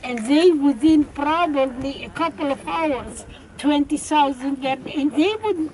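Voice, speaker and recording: A woman's voice: high voice, a little slow, a little mellow, a little hiss or hum, low-quality recording. An elderly woman in her eighties from Hungary, outdoors, talking through events and filling in others' words. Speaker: survivor who also questions. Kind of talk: conversational testimony. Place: outdoors at a memorial site.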